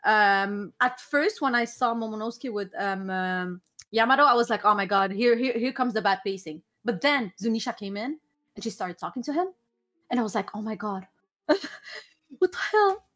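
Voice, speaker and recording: A young woman from Lebanon with a Lebanese French accent, chatting casually and excitedly on a live stream, often restarting and repeating her words. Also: medium pitch, normal speed. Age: young adult. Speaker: co-host reacting to an anime episode. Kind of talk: casual chat. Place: small bedroom streaming setup, indoors.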